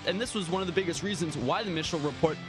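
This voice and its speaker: Higher-voiced male radio sports and news anchor. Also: rapid-fire, loud music behind the voice. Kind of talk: broadcast radio news, sports updates and sports talk. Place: radio studio.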